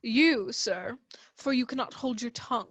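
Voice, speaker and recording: Measured, shrewd doctor played by a woman, higher register, dry formal delivery for radio theatre. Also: lo-fi sound, natural voice. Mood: dry, firm. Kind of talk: radio play acting.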